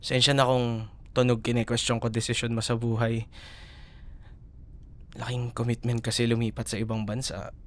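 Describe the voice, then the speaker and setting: A man with a Filipino accent playing an affectionate, teasing young college boyfriend in an intimate, softly hesitant ASMR roleplay. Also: mid-range voice, level tone, normal speed, pauses often, natural voice. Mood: gentle, wistful concern.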